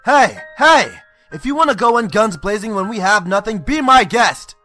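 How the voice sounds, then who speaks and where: An actor playing a hot-tempered, frustrated police detective in an amateur radio drama. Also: male voice, sits high, expressive, normal speed, bright, forceful tone, soft background music. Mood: angry, frustrated. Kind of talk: amateur audio drama acting.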